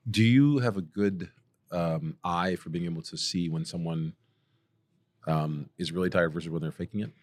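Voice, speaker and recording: American man, deep voice, casual interviewer with frequent follow-up questions, dry studio-style closeness. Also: normal speed, darkish tone, no room sound. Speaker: podcast host interviewer.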